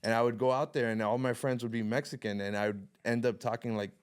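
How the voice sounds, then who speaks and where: Relaxed young man with a smooth voice. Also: medium pitch, fast talker. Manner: relaxed storyteller, laughs often, mixes in Spanish. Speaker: podcast guest, photographer and videographer. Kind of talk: casual chat.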